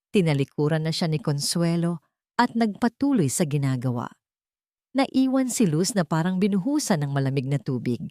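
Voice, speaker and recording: A woman's voice: fairly low pitch, warm tone, dry recording, clean studio sound. A woman narrating a scripted dramatic story as a storyteller.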